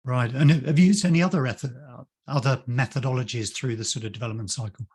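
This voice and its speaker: A man's voice: mid-range voice, quick pace, a little mellow, slightly rough. A man hosting an interview on a video call, chatty and friendly, with fillers and small laughs. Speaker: interview host, company founder.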